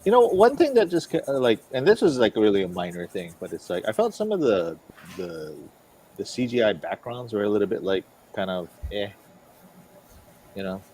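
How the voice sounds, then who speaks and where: A fairly low-pitched man talks in casual conversation. He sounds tentative and tends to check things with questions, over faint background noise. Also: very animated, normal speed, warm tone, poor audio. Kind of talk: casual chat.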